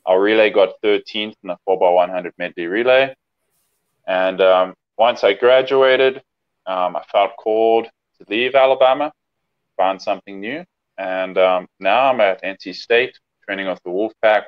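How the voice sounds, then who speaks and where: A young man in his twenties from South Africa, talking easygoing and plainly as a guest on a video call. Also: sits low. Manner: easygoing, plain, many ums. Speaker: guest swimmer. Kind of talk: casual interview on a video call. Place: home room. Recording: dull lo-fi call audio.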